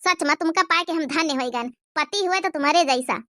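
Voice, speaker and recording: Woman as a high-pitched, fretful young Awadhi wife. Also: a little fast, sharp tone, no echo, clean studio sound. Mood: grateful. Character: anxious young wife, devoted to her parents. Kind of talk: cartoon comedy dialogue.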